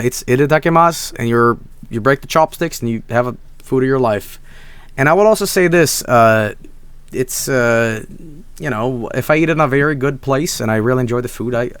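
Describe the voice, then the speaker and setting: A hoarse man, chatting casually on a stream, rambling with many restarts and soft asides. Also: mid-range voice, no room sound. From Sweden.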